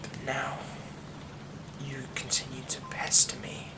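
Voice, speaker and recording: A man voicing a haunted young man hiding a werewolf curse, speaking in a hushed, halting way that often drops to a whisper. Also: mid-range voice, monotone, heavy background noise, natural voice. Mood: menacing whisper. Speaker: ASMR roleplay performer. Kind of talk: ASMR horror roleplay.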